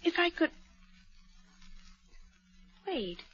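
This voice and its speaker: A woman's voice: extremely high pitch, sing-song, extremely slow, a bit bright, heavily acted. An actress playing a distraught young woman who uncovers a murderer in a 1940s radio drama. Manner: emotional, urgent, breathless pleas. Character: distraught young woman, heiress accusing killer. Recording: dull old radio with music.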